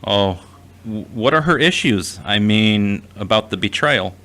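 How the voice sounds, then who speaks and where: Man playing a gentle young man, low bright voice, earnest, reassuring, fragile, audio drama. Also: expressive, measured pace. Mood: concerned.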